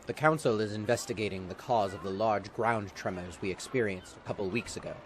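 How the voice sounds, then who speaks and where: A man's voice: fairly low pitch, fairly quick, noisy background, close to an everyday voice. A man playing a skeptical, officious town councilman in a fantasy audio drama, speaking formally.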